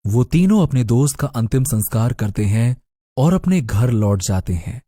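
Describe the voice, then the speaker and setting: A man's voice: mid-range voice, very animated, normal speed, dark tone, dry recording, studio quality. A man giving dramatic scripted narration of a horror story. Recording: prominent music under the narration.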